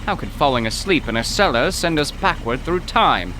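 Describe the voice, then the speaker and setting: A man's voice: a little high, lively intonation, moderate pace, lightly acted. Man doing a jittery formal professor type in a radio play, voice jumps around, noisy background. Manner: formal, nervous, fussy. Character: fussy, cautious male professor.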